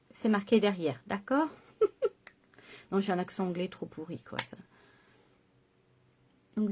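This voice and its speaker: A woman's voice: a little high, darkish tone. A woman chatting through a makeup tutorial and explaining each step to her viewers. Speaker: makeup vlogger.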